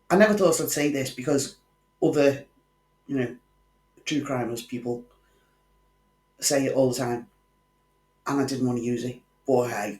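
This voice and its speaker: Wry man narrating true crime. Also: mid-range voice. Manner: wry, conversational asides to the viewer. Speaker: true crime channel host. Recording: echoey room.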